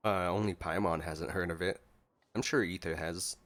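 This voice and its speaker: A man's voice: deep voice, steady tone, moderate pace, a bit bright. A man giving casual, playfully joking reaction commentary as a gaming streamer.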